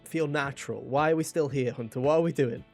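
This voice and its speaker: A man's voice: medium pitch, fast talker, creaky, a little mellow, soft background music. A man hosting a podcast in a casual, chatty way, with a jokey, mock-dramatic manner, close to the microphone. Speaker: podcast host.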